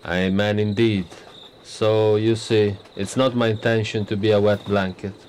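Older male statesman, low-pitched, blunt and teasing; actor's radio play role, faint night insects. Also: flat delivery, measured pace, darkish tone, a little hiss or hum, close to an everyday voice. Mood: self-assured. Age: 60s. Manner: wry, teasing, self-assured. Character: older male prime minister, smug, blunt, self-satisfied. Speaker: politician character. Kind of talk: radio drama acting.

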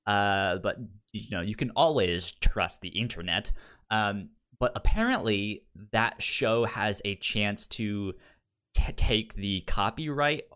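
Stuttering man with a lowish voice. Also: takes it slowly, fairly bright tone, clear, resonant tone. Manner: stuttering, repeats sounds and words. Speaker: podcast co-host. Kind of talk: casual chat.

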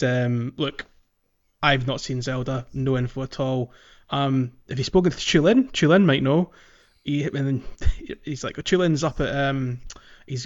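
A man's voice: medium pitch, lo-fi sound. A man hosting a podcast, talking casually through a video game and sometimes reading aloud. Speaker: podcast host.

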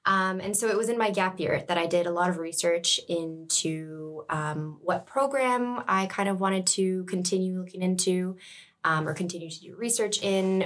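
Young woman, lowish voice. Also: a bit bright. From Canada. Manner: thoughtful, many "um" and "like" fillers. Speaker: student guest. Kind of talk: casual interview chat.

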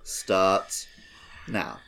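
A man's voice: extremely slow, bright, forceful tone. A man hosting a comedy panel game in a casual, jokey, sweary way. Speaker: game show host.

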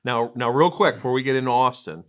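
A man's voice: medium pitch, quick pace, a bit bright. A male podcast host who reads a scripted introduction and then interviews a guest in an upbeat, encouraging way.

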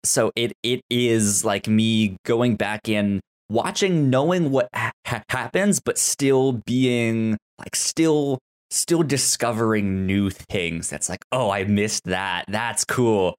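A man hosting a podcast in casual TV review chat, with a halting, stammering delivery that often repeats words. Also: lowish voice. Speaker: podcast host.

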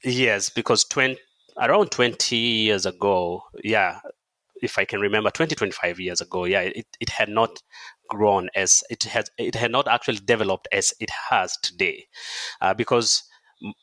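A man with a Kenyan accent speaking as an interview guest, telling his story in measured, hesitant phrases. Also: fairly low pitch, steady tone, sharp tone, dry recording. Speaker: podcast guest, charity staff member. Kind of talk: conversational interview, personal storytelling.

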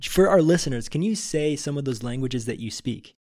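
A man's voice: mid-range voice, expressive, a little fast, darkish tone. A man hosting a podcast interview from a studio, an enthusiastic, encouraging interviewer. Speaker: podcast host.

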